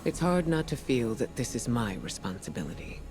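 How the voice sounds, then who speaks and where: Game casting: man as earnest troubled leader, middle register, measured and solemn, music underneath. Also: mid-range voice, big pitch swings, lightly acted. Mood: grave concern. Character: solemn, worried male commander, duty-bound and earnest. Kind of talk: scripted game monologue.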